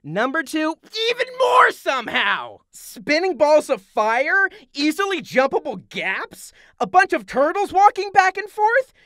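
A man's voice: extremely high pitch, wildly swooping pitch, measured pace, hardly any pauses, pushed and bright, no room sound, heavily acted. A man playing a straight-laced young villain's assistant in a comedy cartoon, dry, sarcastic and exasperated. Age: young adult. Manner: dry, sarcastic, matter-of-fact.

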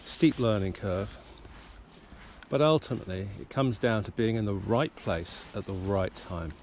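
A man from Cornwall chatting reflectively to his vlog outdoors on a river bank, musing and often pausing mid-sentence. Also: lowish voice. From Cornwall, England. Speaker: fishing vlogger, novice salmon angler. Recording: clear outdoor background noise.

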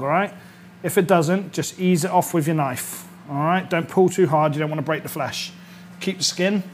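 A man's voice: a little high, slight background noise. A British man in his thirties, a chef talking chattily through an informal cooking demonstration, checking in as he goes. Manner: chatty, instructional, often checks with "all right?". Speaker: chef presenting a cooking series. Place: indoor kitchen studio set.

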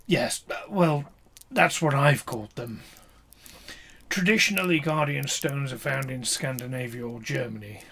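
A man's voice: medium pitch, normal speed, creaky, bright, forceful tone, husky, full character voice. An actor playing a polite but evasive male archaeologist in an audio drama. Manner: polite, hesitant, faintly evasive. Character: genial middle-aged male archaeologist, evasive. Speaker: academic.

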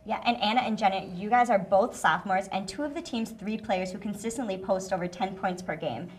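A woman's voice: mid-range voice, a little fast. A young woman hosting a student sports show from a studio desk, reading her lines upbeat on a lapel mic. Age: young adult. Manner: upbeat, reads from a script. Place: small TV studio set, indoors.

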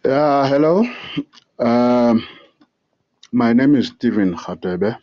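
A South African man with a South African accent, leaving an earnest voicemail with many hesitant pauses. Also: medium pitch, animated, dark tone. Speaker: voicemail caller. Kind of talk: phone voicemail. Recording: muffled voicemail phone line.

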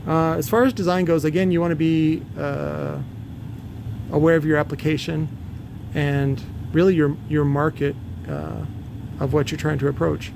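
A man's voice: a little high, level tone, moderate pace, vocal fry, noisy background. A man giving a casual, off-the-cuff technical explanation in a blunt, opinionated, salty-tongued way. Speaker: presenter, loudspeaker builder. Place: cluttered indoor workshop.

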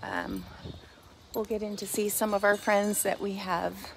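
A middle-aged woman hosting an unscripted walk-through vlog on a phone at arm's length, with a halting, meandering delivery. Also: medium pitch, big pitch swings, vocal fry. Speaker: host of a shopping preview vlog. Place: outside a metal warehouse store, then large busy indoor market and cafe. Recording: noisy handheld phone recording on location.

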